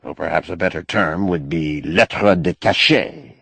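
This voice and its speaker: A man's voice: low voice, a little slow, creaky, fairly bright tone, breathy edge, no echo, voice pushed down, full character voice. A man voicing a wily, indignant, eloquent doctor with pompous, ornate oratory in an audiobook. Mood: accusing, forceful. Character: wily, indignant, eloquent male doctor, master of his house. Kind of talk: audiobook character acting.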